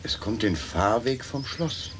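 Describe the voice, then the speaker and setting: An actor playing a measured, proper, conciliatory observer in a radio play, matter-of-fact, on a noisy old recording. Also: male voice, lowish voice, lively intonation. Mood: measured, correct. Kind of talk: German radio play acting. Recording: old radio recording, loud background noise.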